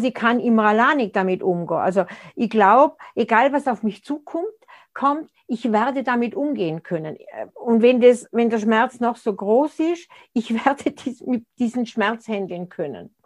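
A woman's voice: a little high, expressive, measured pace. A woman, speaking as an interview guest in a reflective, conversational way and often restarting her sentences as she searches for words. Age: 60s.

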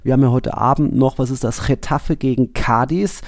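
A man's voice: mid-range voice, a little fast, darkish tone, no room sound, studio quality. A man hosting a football podcast, talking casually and informally in a chatty way. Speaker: podcast host.

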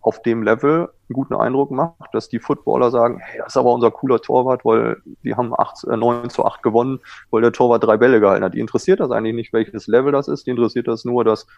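A man's voice: mid-range voice, fairly even intonation, fast talker, gravelly, no room sound, lo-fi sound. A man who coaches goalkeepers, explaining things in a self-assured, conversational way as an interview guest. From Germany. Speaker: water polo goalkeeper coach, former Olympian.

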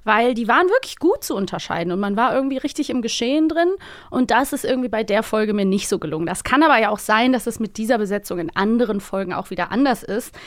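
A woman's voice: fairly high pitch, expressive, fast talker, no echo. Chatty woman podcast host, dry sound. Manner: laughs often, many fillers and restarts. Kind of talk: casual chat about radio plays.

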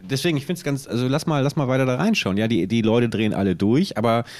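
A man chats as a podcast co-host. He speaks colloquially, thinking out loud and posing questions in a casual conversational style. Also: medium pitch, fast talker.

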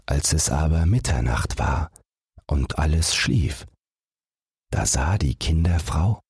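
Male audiobook narrator, scripted bedtime storytelling, very low pitch, slow pace, close dry sound. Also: darkish tone, slightly rough, dry recording. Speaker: audiobook narrator and podcast host.

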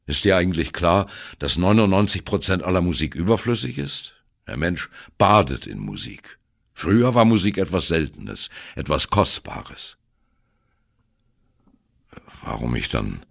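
A man's voice: low-pitched, sing-song, a little slow, creaky, full character voice. An actor playing a wry, disillusioned ageing jazz musician who recalls his band years in a musing, conversational radio-play narration. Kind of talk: first-person reminiscing narration in a radio play.

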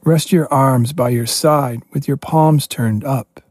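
A man leading a guided meditation as a yoga teacher, giving gentle, spacious instructions with pauses. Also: medium pitch, no echo, clean studio sound.

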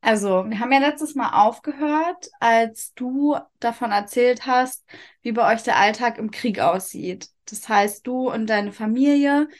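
A woman with a fairly high voice hosts a podcast interview. She reads her introduction smoothly, then asks patient follow-up questions. She often sums up what her guest has just said. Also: measured pace. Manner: gently prompting and rephrasing the guest's answers. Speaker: podcast host. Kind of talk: interviewing and scripted narration.

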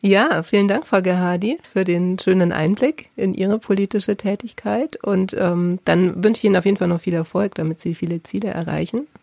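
A woman's voice: medium pitch, moderate pace, creaky, hardly any pauses, a little mellow, no echo, clean studio sound. A woman hosting a podcast, interviewing and addressing listeners in a conversational style.

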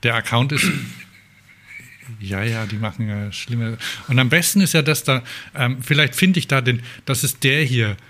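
A man's voice: mid-range voice, unhurried, pushed and bright, a little hiss or hum. A man chatting casually as a podcast host and telling anecdotes.

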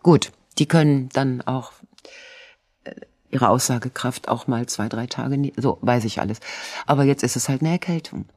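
A woman's voice: extremely low pitch, moderate pace, a little mellow, husky, no echo. Woman with a cold, chatty and self-pitying; dry recording. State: has a cold. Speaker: co-host. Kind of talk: casual chat.

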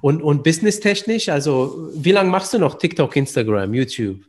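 A man's voice: a little high, expressive, moderate pace, a little mellow. A man from Romania interviewing casually, agreeable and nodding along with frequent yeses. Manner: agreeable, constant ja-ja backchannel.

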